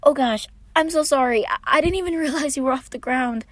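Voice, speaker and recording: Woman voicing a high, gushing gentle giant man. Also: brisk, no room sound, close to an everyday voice. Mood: embarrassed, apologetic. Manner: hearty, effusive, apologetic. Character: enormous, gentle, adorable big brother, cheerful and gushing.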